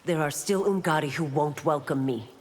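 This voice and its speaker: An actress playing a stern, loyal police constable in a video game, speaking in a measured, formal and firm way. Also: female voice, low voice. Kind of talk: video game dialogue acting. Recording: long hall-like reverb.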